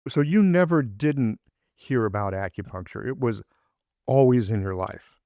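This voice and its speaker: A man's voice: medium pitch, animated, moderate pace, mellow, no room sound. A man hosting a podcast interview, asking curious, meandering questions. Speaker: podcast host. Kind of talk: casual interview.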